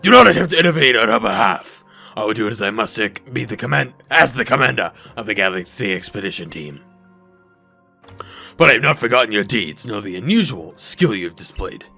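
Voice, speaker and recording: A man plays a stern military commander with a gravelly, animated delivery. He speaks formally and commandingly, full of suspicion, as a game character. Faint music sits behind him. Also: lowish voice, brisk, pitched below the performer's own voice, exaggerated voice. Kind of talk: fan-made game character voice acting.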